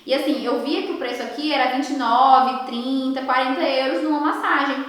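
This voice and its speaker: High-voiced woman in an echoey room. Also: moderate pace. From Ceará, Brazil. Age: 20s. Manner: animated storytelling, frequent tag questions to the audience. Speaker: beauty therapist speaker at an online conference. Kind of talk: online conference talk, personal story.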